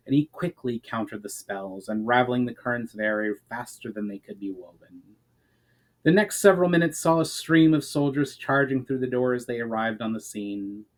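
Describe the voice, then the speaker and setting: A man's voice: mid-range voice, poor audio. A man narrating a fantasy story as a dramatic reading, stressing the starts of phrases and letting the ends fall away, with the odd stumble. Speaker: writer reading own fantasy chapter. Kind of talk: dramatic audiobook-style narration.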